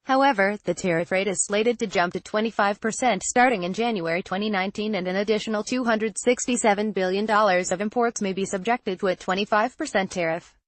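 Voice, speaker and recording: A woman narrating a scripted financial news article in a measured, formal read-aloud delivery. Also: medium pitch, no room sound.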